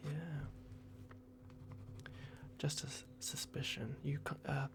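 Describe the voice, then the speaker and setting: Softly whispering, creaky-voiced man in ASMR roleplay. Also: mid-range voice, little pitch movement, slow. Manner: hushed, hesitant, apologetic. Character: polite, flustered vampire man working at a clinic. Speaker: ASMR roleplayer playing a clinic receptionist.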